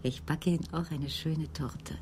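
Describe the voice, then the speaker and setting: A woman's voice: deep voice, fairly even intonation, unhurried, a little mellow, slight background noise. A female actor in a radio crime drama playing a homely, hospitable older wife. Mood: welcoming.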